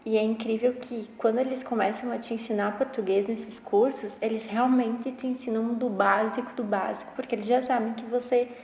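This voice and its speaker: A woman's voice: on the high side, fairly even intonation, brisk, few pauses, a little mellow. A woman in her twenties, a geography teacher, telling in a chatty way how she learned the language for exams. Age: 20s. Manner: conversational, with restarts and filler words. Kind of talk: personal story pitching a study method. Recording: roomy echo with long reverb.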